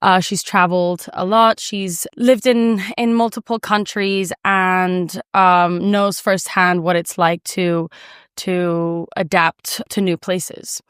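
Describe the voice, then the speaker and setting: Smooth-voiced woman hosting a podcast. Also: fairly low pitch, level tone, slow, a bit bright, no echo, studio quality. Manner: chatty, friendly, fillers and restarts. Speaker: podcast host. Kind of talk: casual conversational interview.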